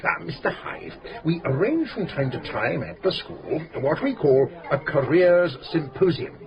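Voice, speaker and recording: An actor playing a pompous, long-winded English school headmaster in a radio drama, speaking in formal, pedantic, hesitant phrases. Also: male voice, fairly high pitch, very animated, measured pace, lo-fi sound. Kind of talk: British radio drama acting. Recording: radio play with busy pub chatter.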